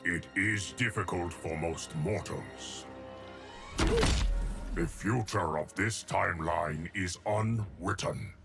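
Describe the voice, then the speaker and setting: An ancient stoic immortal in a game, low and unhurried, very formal, music behind. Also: male voice, vocal fry, heavily acted. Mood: solemn. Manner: measured, formal, grave. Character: ancient immortal male timekeeper, solemn and stoic. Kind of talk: video game character dialogue.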